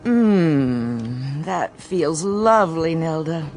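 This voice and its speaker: A woman's voice: low-pitched, expressive, darkish tone, soft background music. A middle-aged woman in a radio drama playing a mother, speaking in a languid, indulgent way. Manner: languid, indulgent, slow-spoken.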